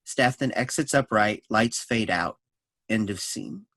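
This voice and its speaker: A man's voice: lowish voice, expressive, measured pace, fairly bright tone, no echo. A man reading scripted stage directions as narration for a staged reading of a play.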